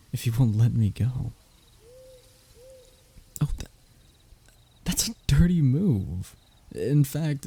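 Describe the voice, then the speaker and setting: Man acting a very mellow, flustered young royal heir. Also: medium pitch, gravelly, breathy edge, full character voice. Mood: frustrated, defiant. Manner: pleading, flowery wording with flustered stammers. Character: young human royal heir, flustered, stubborn, scheming, lovestruck captive. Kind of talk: audio roleplay acting, addressing the listener closely.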